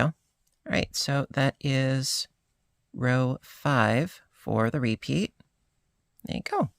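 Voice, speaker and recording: A slightly hoarse crochet teacher walks through each stitch at a slow, unhurried pace. The voice has a gravelly fry and sits dry and close, with no room around it. The delivery stays calm and patient throughout. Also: medium pitch, no room sound. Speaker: crochet instructor. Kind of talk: step-by-step crochet tutorial.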